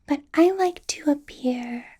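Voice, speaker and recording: A woman playing a doting, possessive and sweetly menacing female vampire boss in a whispered ASMR roleplay, with slow, breathy delivery. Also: sits high. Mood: smooth, coaxing. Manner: breathy, slow whispering with soft little laughs.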